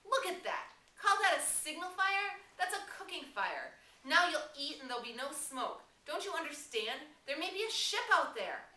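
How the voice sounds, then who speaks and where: Woman acting out a desperate boy leader, raised and shouting. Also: high-pitched, very animated, moderate pace, hardly any pauses, pitched above the performer's own voice, heavily acted. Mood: exasperated. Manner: shouting, emphatic, exasperated. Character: desperate, angry boy leader pleading for reason. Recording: roomy, distant mic, faint noise.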